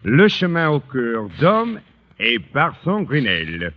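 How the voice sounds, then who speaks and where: A man playing an excitable, persuasive Frenchman with a French accent in a 1940s radio comedy. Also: medium pitch, a little slow, big-room sound. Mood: knowing. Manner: emphatic, animated, persuasive. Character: excitable Frenchman, scheming romantic adviser. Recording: noisy muffled old radio recording.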